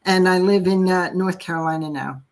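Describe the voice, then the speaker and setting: A woman's voice: on the low side, fairly quick. A woman with a New Jersey accent, an astrologer chatting on a video call, hesitant and full of fillers. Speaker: astrologer guest. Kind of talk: casual chat.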